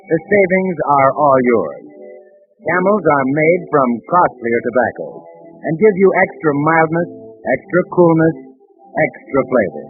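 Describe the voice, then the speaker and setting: An American male announcer delivers a 1940s radio advertisement in a fairly high voice. He is deliberate and persuasive, stressing his points over a tinny vintage broadcast with faint music.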